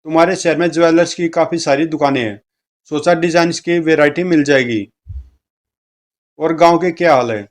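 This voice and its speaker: Man reading comic stories aloud, higher-pitched voice. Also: normal speed. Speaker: comic book reader, narrator. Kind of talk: reading Hindi comic strips aloud, acting out the dialogue.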